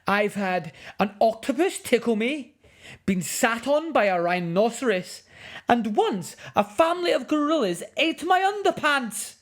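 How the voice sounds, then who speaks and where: A woman's voice: on the high side, sing-song, a little slow, voice pushed up. A woman voicing a furious, blustering man who scolds indignantly in a children's storybook. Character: furious, indignant grown man, wildlife television presenter.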